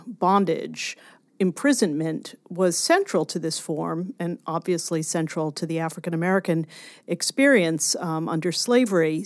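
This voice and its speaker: A woman scholar explaining her research as an interview guest, deliberate and emphatic on key words. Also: fairly low pitch, a little mellow, no echo. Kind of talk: explanatory academic interview talk.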